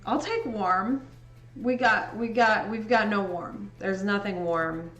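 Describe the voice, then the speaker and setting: A young woman from Indiana with a Midwestern accent, chatting casually with viewers during a livestream while she edits photos. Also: medium pitch. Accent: Midwestern American. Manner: slow, relaxed, chatty with frequent laughs. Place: indoor home room. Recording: echoey room with faint music.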